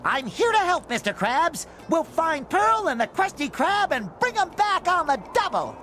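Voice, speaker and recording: A man's voice: sing-song, moderate pace, pushed and bright, soft background music, heavily acted. A man voicing a cheerful, naive, childlike sea sponge in a cartoon game, nasal and eager. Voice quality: nasal, squeaky. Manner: eager, chirpy.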